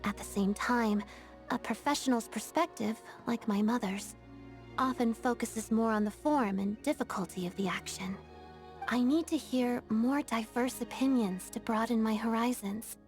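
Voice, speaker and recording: A woman voicing an earnest, disciplined young ballet dancer in a video game, speaking in a composed, formal and measured way. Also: on the high side, darkish tone, faint music behind, close to an everyday voice. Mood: earnest, polite. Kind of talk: video game character voice acting.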